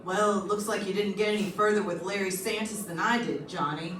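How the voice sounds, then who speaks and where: A woman's voice: medium pitch, steady tone, fairly quick, noisy background, lightly acted. A woman playing a cautious, matter-of-fact police lieutenant in an old-time radio detective drama. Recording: echoey stage, distant mic.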